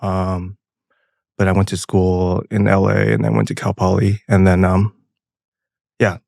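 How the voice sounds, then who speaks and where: A man from Taiwan with a lowish, gravelly voice, speaking softly and casually with plenty of pauses and fillers. The sound is dry and close, with no room around it. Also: no echo. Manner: soft-spoken, relaxed, many fillers. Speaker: podcast guest, parts company founder. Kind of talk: casual interview chat.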